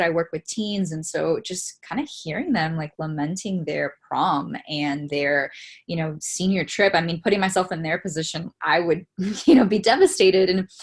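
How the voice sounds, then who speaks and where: Young woman from miami, kinda low voice, earnest and reflective, lots of "you know"s. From Miami, Florida, United States. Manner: earnest, reflective, with frequent fillers. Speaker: interview guest, theologian. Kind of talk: casual conversational interview.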